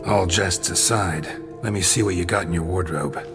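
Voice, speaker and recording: An actor playing a gruff monster hunter in a video game, gravelly and laconic, with a deadpan delivery. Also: male voice, low-pitched, normal speed, music in the background, natural voice. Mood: dry. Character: gruff, terse, dry northern monster hunter. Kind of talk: scripted video game dialogue.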